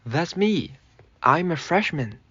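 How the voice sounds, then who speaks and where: A man's voice: fairly high pitch, sing-song, takes it slowly, a bit bright, slightly rough, dry recording, lo-fi sound, full character voice. A man playing an earnest, easily hurt eighteen-year-old who looks old, in a radio drama. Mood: earnest, insistent. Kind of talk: amateur radio drama acting.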